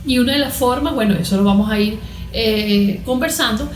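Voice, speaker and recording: Higher-pitched Venezuelan woman, echoey room. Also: moderate pace, faint music behind. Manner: didactic, poses questions and answers them. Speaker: guest expert, cognitive therapist. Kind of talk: conversational interview explanation.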